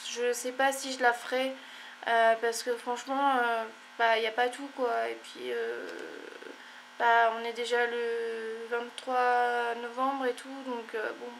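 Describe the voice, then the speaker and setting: A woman's voice: a little high, slow pace, a little hiss or hum. A young woman vlogging casually at home, rambling with many fillers, sounding congested and tired. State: stuffy, congested. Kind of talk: casual chat to camera.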